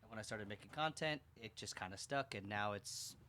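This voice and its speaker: Young man, podcast guest, relaxed and joking, bright tone, sentences fade off quietly. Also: lowish voice, a little fast, a little hiss or hum. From abroad, moved to Finland. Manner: joking, laid-back, trails off quietly. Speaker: guest, event organizer. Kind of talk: casual podcast chat.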